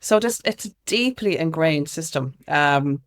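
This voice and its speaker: A woman's voice: low voice, animated, measured pace. Irish woman chatting as an interview guest, earnest and opinionated, talks freely about what she believes. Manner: earnest, outspoken. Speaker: interview guest, activist and music consultant. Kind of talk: conversational interview answers.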